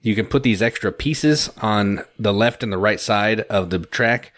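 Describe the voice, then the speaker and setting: A man's voice: fairly low pitch, a little slow, a bit bright. A man co-hosting a board game podcast, casually running through the news in an easygoing, step-by-step way. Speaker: podcast co-host. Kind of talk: casual chat, news rundown.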